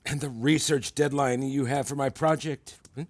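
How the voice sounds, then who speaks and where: A man's voice: medium pitch, fairly even intonation, normal speed, dry recording, close to an everyday voice. Man acting courteous, admiring professor, dry close sound. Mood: admiring, measured. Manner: formal, gracious wording. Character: courteous, admiring male professor and research mentor. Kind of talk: fiction podcast acting.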